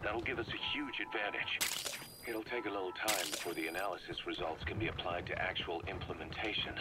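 An actor playing a gruff military commander in a video game, giving a measured, serious briefing. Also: male voice, low voice, fairly even intonation, heavy background noise, natural voice. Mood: businesslike. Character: gruff male military commander briefing over cassette tape. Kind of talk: scripted video game dialogue.

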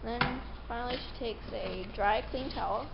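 A woman's voice: on the high side, moderate pace, creaky. A young woman narrating a casual, step-by-step walkthrough of milking on a farm, sometimes searching for the words. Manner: plain step-by-step explaining, a little unsure at times. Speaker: farm vlogger explaining milking. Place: indoor dairy barn. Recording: dull lo-fi camera audio with loud barn noise.

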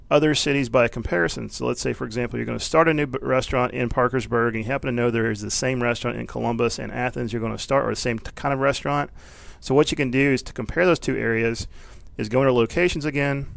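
A man with a very creaky, gravelly voice at an ordinary male pitch leads an informal software walkthrough. He explains each step casually and thinks aloud without a script. The sound is dry, with no room echo. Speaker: software tutorial instructor. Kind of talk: informal, unscripted screencast walkthrough.

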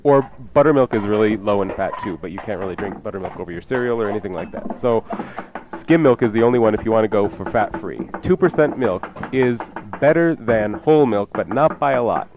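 Fast-talking man with a lower voice. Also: noisy background. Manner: conversational, wry joking asides. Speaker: radio cooking show host. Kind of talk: casual radio cooking talk. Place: radio studio. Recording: music playing behind the voice. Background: music.

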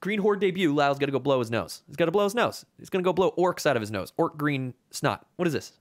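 A man in his thirties co-hosting a casual board game live stream from a home room on a webcam, chatty and excitable, laughing often. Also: a little high, fast. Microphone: webcam video call.

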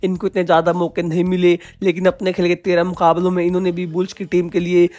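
A man's voice: high voice, monotone, fast talker, a little mellow, no echo. A man gives a scripted voice-over about a sports auction, steadily explaining and addressing viewers as friends. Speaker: kabaddi video presenter.

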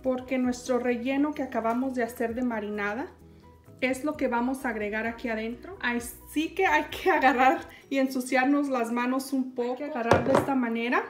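A high-pitched woman talks viewers through a recipe in a calm, step-by-step way. Clear background music plays under her voice, with dishes and pans clinking in the kitchen. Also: normal speed. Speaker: cooking show host. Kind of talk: home cooking demonstration.

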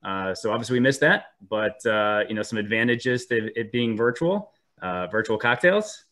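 A man's voice: on the low side, animated, normal speed, bright, forceful tone. A man with a cold, sounding a bit sniffly, presenting a webinar over a video call with frequent ums and restarts. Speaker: 3D printing specialist presenting a webinar.

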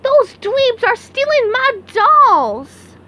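A woman's voice: extremely high pitch, sing-song, extremely slow, pushed and bright, pitched above the performer's own voice, exaggerated voice. A woman voicing a spoiled, whiny young royal girl in an amateur cartoon comic dub.

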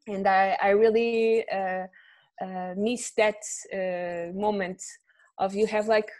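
A woman's voice: mid-range voice, fairly even intonation, takes it slowly, darkish tone. A woman, hosting a video call discussion from home, hesitant with many fillers and laughs. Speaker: event host. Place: home room with bookshelves. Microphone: earphone mic on a video call.

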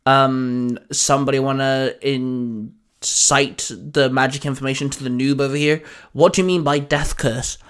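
A man's voice: mid-range voice, fairly even intonation, a little slow, a bit bright, smooth, lightly acted. A man voicing a sarcastic alien hero in a narrated story, with a dry, eye-rolling manner. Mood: impatient.

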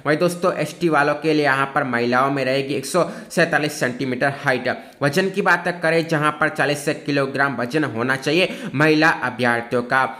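A man presents information in an explainer style. His voice sits a little high and has a bright, forceful edge. He addresses his audience as friends and falls back on the same filler phrases as he goes. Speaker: presenter of job recruitment updates. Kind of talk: informational explainer narration of a notice.

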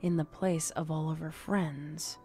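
A woman narrating a scripted horror story in a measured, dramatic storytelling manner. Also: sits low, steady tone, mellow, soft background music.

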